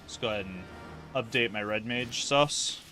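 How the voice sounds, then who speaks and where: A man's voice: on the low side, moderate pace, pushed and bright, faint music behind. A man casually commentating a livestream of an online fantasy game, rambling and thinking aloud with casual swearing.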